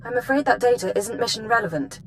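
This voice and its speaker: An actress playing an efficient, reserved intelligence analyst in a video game, formal and precise. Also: female voice, on the high side, lively intonation, fast talker, slight background noise. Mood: brisk, detached. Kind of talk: scripted game dialogue.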